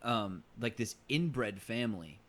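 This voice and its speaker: A man co-hosting a podcast in casual conversation, halting and often restarting his phrases. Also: lowish voice, animated. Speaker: podcast co-host.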